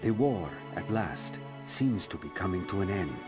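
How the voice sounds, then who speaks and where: An actor playing a weary, hopeful Soviet soldier, reading his letter home slowly and reflectively. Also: sits low, expressive, over loud music. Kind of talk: letter read as game voice-over.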